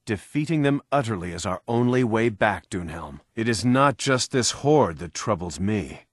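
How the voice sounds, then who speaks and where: An actor playing a grave, wise sorcerer lord in a video game cutscene, speaking in a solemn, measured way. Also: male voice, lowish voice, lively intonation, no room sound, studio quality. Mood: wary.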